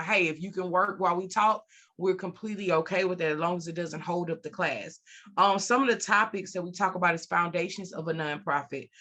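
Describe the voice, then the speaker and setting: A woman's voice: on the low side, a bit bright. A woman speaking as an interview guest, a consultant and trainer explaining and promoting her services over a video call.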